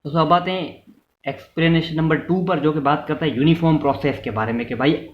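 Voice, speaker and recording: A man's voice: a little high, brisk. Pakistani man lecturing in an echoey room. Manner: didactic, repeats and rephrases points, checks in with listeners. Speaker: law lecturer. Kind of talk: explanatory lecture mixing Urdu with English legal terms. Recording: muffled, roomy echo, background noise.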